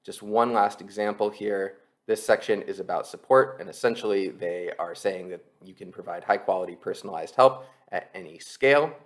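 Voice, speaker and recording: A man's voice: low-pitched, normal speed, few pauses. A man presenting an explanatory screen-share tutorial as an instructor, talking conversationally and explaining things step by step.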